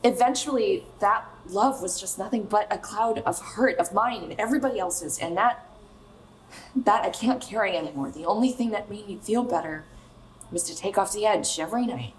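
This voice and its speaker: An American woman playing a bitter, wounded pop star of twenty-seven in a naturalistic radio play, speaking in a halting, emotional, sharp-tongued way. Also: mid-range voice, slight background noise, lightly acted, rough recording. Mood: hurt, confessional. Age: young adult.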